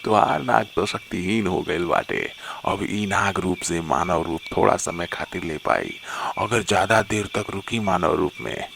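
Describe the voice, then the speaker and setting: Deep, gravelly evil sorcerer. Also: male voice, fairly bright tone, a little hiss or hum, heavily acted. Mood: gloating menace. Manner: gloating, drawn-out taunts with villainous laughter. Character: wicked, arrogant male sorcerer, menacing and mocking.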